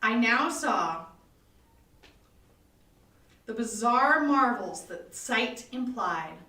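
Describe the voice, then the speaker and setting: Female horror-story reader: high voice, very animated pitch, theatrical and suspenseful, recorded at a distance in an echoey room. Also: extremely slow. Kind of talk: dramatic reading of a gothic horror story. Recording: roomy echo, distant mic, live online stream.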